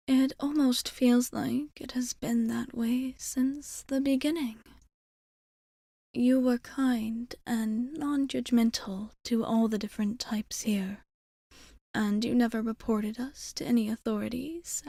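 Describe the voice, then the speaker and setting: A woman playing a gentle, courteous vampire bartender in an ASMR roleplay, soft-spoken and breathy, often near a whisper, with hesitant, formal phrasing. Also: fairly high pitch, dry recording, clean studio sound. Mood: fond, reassuring. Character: gentle, courteous female vampire bartender, tenderly devoted immortal. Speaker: ASMR performer. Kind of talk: ASMR roleplay addressed to the listener.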